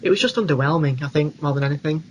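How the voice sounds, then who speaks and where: Higher-voiced British man, slightly noisy recording. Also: racing. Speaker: film podcast co-host. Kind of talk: casual film review chat. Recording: clearly noisy background ambience.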